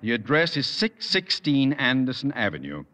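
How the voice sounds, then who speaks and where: Blustering sarcastic principal. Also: male voice, mid-range voice, big pitch swings, normal speed, bright, forceful tone. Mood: pompous. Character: pompous exasperated middle-aged school principal. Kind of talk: 1950s radio sitcom acting. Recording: dull old radio broadcast with reverb and faint hiss.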